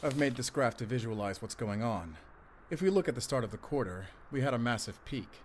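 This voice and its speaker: Audio drama: male actor as drug ring manager, medium pitch, businesslike, deferential, slight background noise. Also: expressive, fairly quick, natural voice. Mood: businesslike, confident. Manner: businesslike, explanatory, polite. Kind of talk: roleplay audio drama acting.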